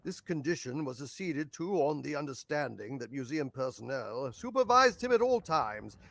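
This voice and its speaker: A man voicing a weary, grave older gentleman who runs a museum, for an audiobook. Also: medium pitch, very animated, normal speed, gravelly, no echo, pitched above the performer's own voice, full character voice. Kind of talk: audiobook character voice.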